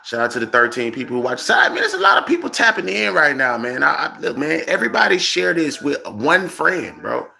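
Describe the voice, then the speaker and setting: Man, 30s, gravelly and bright, laid-back slangy livestream host. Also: fairly high pitch, big pitch swings, brisk, few pauses. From Birmingham, Alabama. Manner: loose, slangy, repeats "you know what I'm saying". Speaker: independent music artist hosting a livestream. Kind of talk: casual livestream chat.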